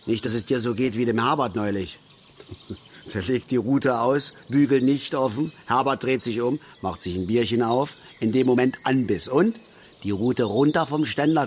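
A man's voice: mid-range voice, lightly acted. An actor playing a grumbling, opinionated middle-aged angler and father in a radio drama, lecturing and blustering with questioning tags. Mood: instructive, then gleeful. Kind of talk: naturalistic radio drama dialogue. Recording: faint outdoor background noise.

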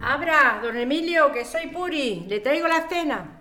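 A woman's voice: high-pitched, lively intonation, measured pace, over loud music. An actress playing a harried, exasperated working mother in an audio drama. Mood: weary, familiar.

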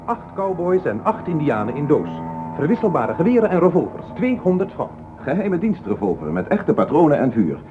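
High swooping toy shop voice hawking stuff with prices, thin phone-like old radio sound. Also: male voice, sing-song, normal speed, over music. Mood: insistent hawking. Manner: declaiming item lists and prices. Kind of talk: radio play sales patter.

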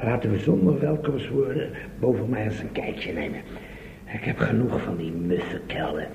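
A man's voice: on the low side, animated, moderate pace, vocal fry, warm tone. An actor playing a cheeky, grumbling handyman sidekick in a Dutch radio play, muttering in a wry, colloquial way. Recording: dull, roomy old radio recording, faint noise.

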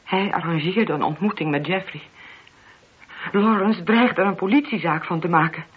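Very husky, anguished wife. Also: female voice, on the low side. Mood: subdued, ashamed. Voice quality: very husky, breathy. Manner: halting, hesitant, confessional. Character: anguished married woman confessing to a killing. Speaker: voice actor. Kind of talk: Dutch radio play acting. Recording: dull, muffled old radio recording.

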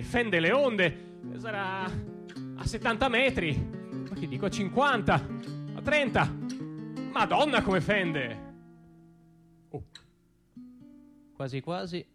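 A man performing a dramatic comic stage monologue as an anxious, conflicted dreamer, halting and repeating himself in agitation. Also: sits high, exaggerated voice. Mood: rising alarm, then anxious wavering. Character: anxious, conflicted dreamer, a man torn between conscience and panic.

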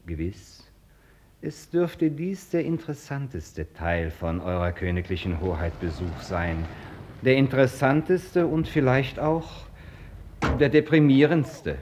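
A man's voice: mid-range voice, expressive, measured pace, darkish tone, a little hiss or hum, close to an everyday voice. A man acting an earnest hospital doctor in a German radio play, formal, deferential and hesitant in his wording.

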